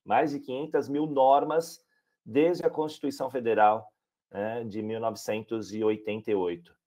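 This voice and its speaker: Brazilian male tax expert, mid-pitched, explanatory, lots of "tá?" and "né", video-call mic. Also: steady tone, moderate pace, warm tone. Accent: Brazilian Portuguese. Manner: explanatory, many filler tags like "tá?" and "né". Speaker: regulatory director, tax expert. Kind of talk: explaining tax reform in a webinar.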